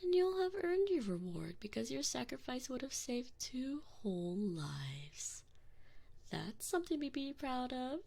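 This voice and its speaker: A woman's voice: mid-range voice, gravelly, a little mellow, husky, full character voice. A woman playing a sadistic, possessive female alien enforcer in an ASMR roleplay, close on the microphone, taunting with theatrical, sweet menace. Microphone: close binaural microphone.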